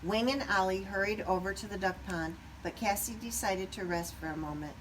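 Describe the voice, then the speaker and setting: A woman reading a children's story aloud expressively, pausing at times to put questions to young listeners. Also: mid-range voice, recorded from a distance, a little hiss or hum, rough recording. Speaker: storybook reader.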